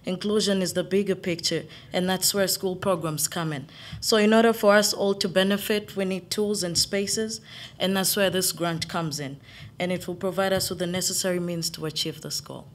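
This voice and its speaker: A woman's voice: on the low side, steady tone, normal speed, a little hiss or hum. A young woman from Uganda, a college junior, giving an earnest, slightly hesitant prepared speech. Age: young adult. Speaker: student speaker.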